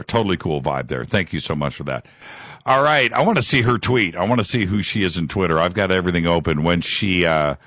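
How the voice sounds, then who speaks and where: A man's voice: low-pitched, a bit bright, no echo. A man hosting a live call-in radio show, enthusiastic and rambling, repeating words and leaning on fillers.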